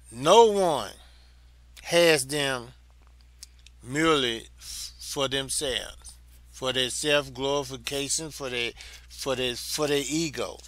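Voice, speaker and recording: Older Southern US man, church Bible teacher, slow and bright-toned, dry close sound. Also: mid-range voice, no echo. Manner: deliberate, repeats phrases for emphasis. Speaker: Sunday school teacher.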